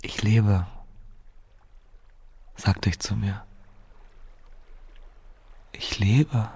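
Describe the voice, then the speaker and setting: An actor playing a wounded, dazed survivor of a massacre in a radio drama monologue, numb, subdued and halting. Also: male voice, fairly low pitch, mellow, slightly rough, heavily acted. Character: wounded, dazed male survivor lying among corpses.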